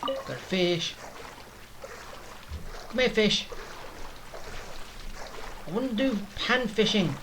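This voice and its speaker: A woman's voice: mid-range voice, lively intonation, slow pace, long pauses, a little hiss or hum. A woman doing casual gameplay commentary, muttering offhand remarks to herself. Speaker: gaming streamer. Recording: loud game music behind voice. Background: game music and effects.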